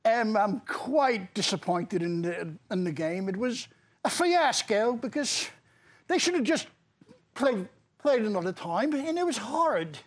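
A man's voice: high-pitched, very animated, measured pace, heavily acted. Man doing a bawdy old English nanny. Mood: indignant. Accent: put-on English. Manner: rambling, flirty, keeps saying Arthur. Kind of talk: improvised comedy bit.

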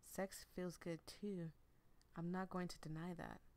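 A woman voicing a gentle, attentive young boyfriend in a visual novel, measured, sincere and open about feelings. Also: fairly low pitch, little pitch movement, lightly acted. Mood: reflective, sincere. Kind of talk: visual novel read aloud.

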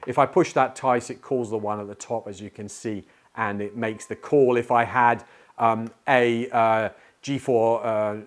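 A man's voice: medium pitch, fairly even intonation, a little slow. Middle-aged man giving an explanatory product demo. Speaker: tech product presenter. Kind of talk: informal product demonstration to camera. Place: small indoor studio room. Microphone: lapel mic.

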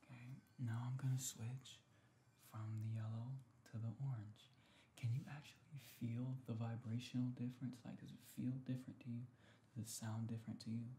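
A man hosting ASMR, speaking softly and often in a whisper, in a gentle, intimate, unhurried way. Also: medium pitch. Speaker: ASMR video host. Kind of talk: soft-spoken ASMR chat, often whispering. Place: small decorated room indoors. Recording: roomy echo, faint background noise. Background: ringing singing bowls.

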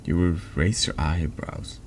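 Very deep, gravelly male narrator. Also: fairly even intonation, a little mellow, a little hiss or hum. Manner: halting reading with stumbles and repeats. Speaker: streamer reading a text adventure game aloud. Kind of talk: soft ASMR-style narration.

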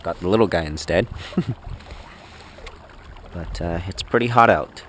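A man in his thirties talking casually to an action camera at arm's length while out on a lake in an inflatable boat, in a relaxed, rambling way. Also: deep voice, measured pace. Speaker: angler filming his trip. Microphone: action camera held at arm's length. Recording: action camera audio with water noise outdoors. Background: water and boat noise.

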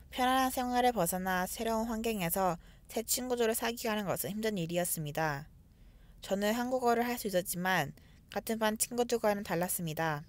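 Fast-reading young woman narrating her story. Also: mid-range voice. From South Korea. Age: 20s. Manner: reads a prepared script steadily. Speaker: student telling her family's immigration story. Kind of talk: scripted personal narration.

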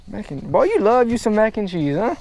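A man's voice: sits high, big pitch swings, brisk, gravelly, darkish tone, poor audio. Joking young man on a boat. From Louisiana. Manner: joking, laughing, teasing. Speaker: angler filming fishing trip. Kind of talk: casual chat. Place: small boat on a swamp outdoors. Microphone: action camera. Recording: outdoor camera audio with noise.

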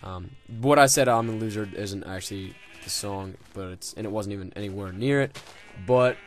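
A man's voice: lowish voice, moderate pace, soft background music. Rambling, profane young man gaming. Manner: rambling, profane, joking, many fillers. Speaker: gaming video host. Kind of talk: casual game commentary.

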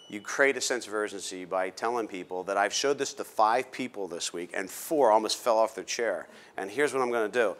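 A man's voice: fairly low pitch, fairly bright tone. A man in his fifties with an American accent giving an informal business lecture in a direct, emphatic coaching manner, on a lapel mic in a small room. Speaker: startup founder giving a talk. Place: small indoor meetup room.

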